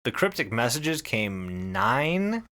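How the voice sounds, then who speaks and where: A man's voice: mid-range voice, sing-song, slow pace, sharp tone. A man co-hosting a podcast, explaining things casually and emphatically, with some swearing. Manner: emphatic, profane. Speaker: podcast co-host.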